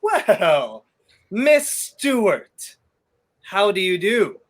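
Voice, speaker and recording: Young actor as wry, dapper fugitive hero, period radio drama, clipped and widely swooping delivery. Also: male voice, sits high, huge pitch swings, extremely slow, heavily acted. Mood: breezy, teasing. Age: young adult. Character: debonair, wry wrongly accused man on the run. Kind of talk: 1930s radio play acting.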